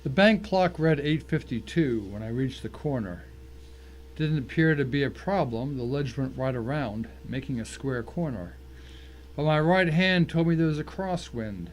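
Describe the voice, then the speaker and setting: A man's voice: medium pitch, lively intonation, moderate pace, close to an everyday voice. A man playing a tense, determined man who narrates his own ordeal in a dramatic first-person story, with noise behind him. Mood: tense, suspenseful. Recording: clear background noise.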